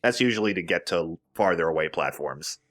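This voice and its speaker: A man's voice: deep voice, animated, brisk, fairly bright tone. A man giving casual, chatty gameplay commentary, explaining things as he plays and swearing casually. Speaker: let's play host and player.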